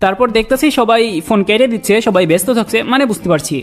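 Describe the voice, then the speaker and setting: A man's voice: high-pitched, very fast. A young man with a Bangladeshi accent making comedic prank phone calls in a mock-earnest, teasing way. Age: young adult. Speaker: prank-calling YouTuber. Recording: music behind the voice.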